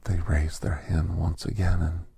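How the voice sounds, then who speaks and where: A man playing a gentle, solemn doctor who tells a holiday story in a hushed, breathy, gravelly ASMR whisper, deliberate and reverent, with long pauses. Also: low voice, level tone, no room sound, exaggerated voice. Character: gentle, solemn male doctor recounting a holiday tale to aliens. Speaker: narrator and storyteller.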